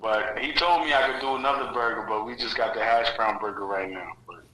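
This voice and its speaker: A man chatting as a podcast guest, relaxed and halting, stammering through his answers. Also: medium pitch, noisy background. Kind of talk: casual chat.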